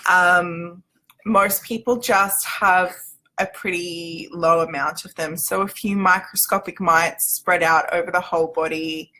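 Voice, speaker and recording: A woman's voice: fairly low pitch, fairly even intonation, a little slow, pushed and bright. A woman with an Australian accent giving an informal livestream explainer as a company representative, in a chatty, loosely structured way.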